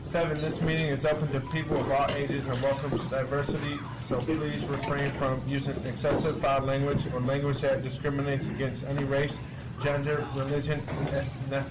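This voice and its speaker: A man's voice: medium pitch, level tone, moderate pace, few pauses. A man reading meeting guidelines aloud with small stumbles. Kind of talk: reading a list of rules aloud. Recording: noisy, distant mic.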